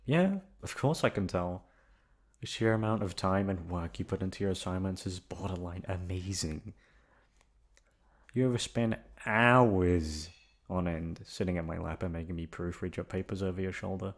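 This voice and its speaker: A young man playing a gruff but affectionate, protective delinquent student in an intimate ASMR roleplay, talking in a casual, foul-mouthed way. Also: sits low, normal speed. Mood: tender, concerned.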